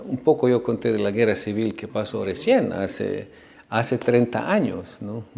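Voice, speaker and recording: A man from Bosnia giving a slow, unhurried talk on religious history as a spiritual teacher, often ending his phrases with a questioning tag. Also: medium pitch, gravelly, warm tone. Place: ashram class.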